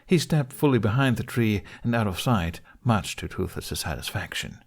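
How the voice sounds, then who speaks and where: A man reading a story as an audiobook narrator, in an expressive scripted style. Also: lowish voice, moderate pace.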